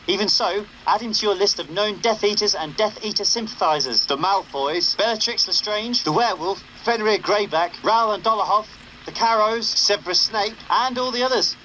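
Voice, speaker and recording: An actor playing a cheeky young rebel radio host, broadcasting upbeat but wary patter over a noisy signal. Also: male voice, high-pitched, bright, forceful tone.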